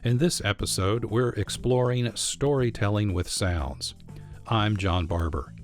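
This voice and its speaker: A man's voice: lowish voice, unhurried, soft background music. An American man hosting a radio program with scripted narration. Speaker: radio show host.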